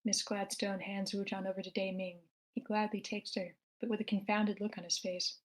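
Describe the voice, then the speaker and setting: A woman's voice: mid-range voice, level tone, normal speed. A woman reading stage directions as the narrator of a screenplay table read. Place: online meeting, own room.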